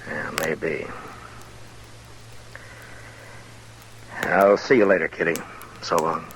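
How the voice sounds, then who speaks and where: Deep, steady western marshal. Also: male voice. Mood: puzzled. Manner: measured, authoritative, plain-spoken. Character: stern, steady male U.S. Marshal of a western town. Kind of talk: old-time radio western acting. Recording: noisy old-time radio recording.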